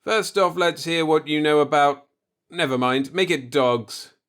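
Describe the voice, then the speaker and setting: A man's voice: a little high, measured pace, fairly bright tone, voice pushed up. A man voicing a stern, distrustful young spaceship captain in a sci-fi audiobook, blunt and sardonic but earnest. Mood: testy.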